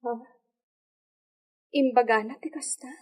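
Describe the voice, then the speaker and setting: A woman's voice: fairly high pitch, steady tone, takes it slowly, darkish tone. A woman with a Filipino accent acting an insecure, vulnerable young lady of a hacienda in a radio drama, plaintive in manner. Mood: weak, uncertain.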